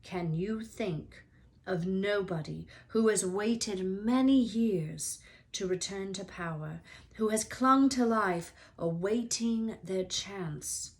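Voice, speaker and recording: A woman voicing a grave, wise male centaur in a storybook, speaking in a solemn, deliberate way. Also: mid-range voice. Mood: grave, probing.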